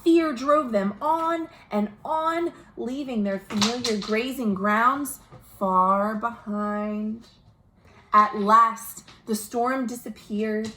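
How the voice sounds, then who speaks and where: A woman reading a picture book aloud to children as a storytime host, expressive, pausing to speak to her young listeners. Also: fairly high pitch. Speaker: children's librarian hosting virtual storytime. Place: at home. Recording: noisy home recording.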